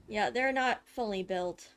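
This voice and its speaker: High-voiced woman casually playing a game. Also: clear, resonant tone. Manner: informal, swearing, thinks out loud. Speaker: gaming streamer. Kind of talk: casual gameplay reaction commentary. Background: video game music.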